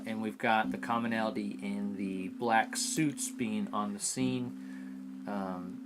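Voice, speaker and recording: A man's voice: fairly low pitch, very noisy. A man co-hosting a casual fan podcast, talking things through inquisitively, recapping and posing questions. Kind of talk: casual discussion of an audio drama.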